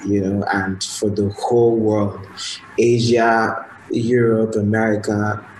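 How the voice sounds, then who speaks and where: A young man with a Nigerian accent, his first language Igbo, chatting softly and casually as a guest in a radio interview. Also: fairly low pitch, takes it slowly, slight background noise. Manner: soft-spoken, relaxed, lots of fillers. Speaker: guest musician. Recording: roomy sound with faint ambience.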